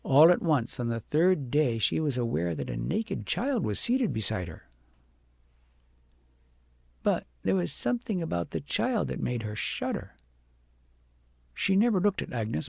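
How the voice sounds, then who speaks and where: A man reading a fairytale audiobook in a dramatic, deliberate storytelling manner. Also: mid-range voice, gravelly, breathy edge, no room sound, clean studio sound. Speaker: audiobook narrator. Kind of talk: expressive scripted fairytale narration.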